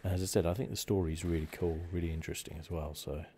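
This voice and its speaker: A man giving casual ASMR commentary on a game playthrough in a hushed, rambling, musing manner. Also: deep voice, fairly even intonation, very fast, slight background noise. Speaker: gaming video host.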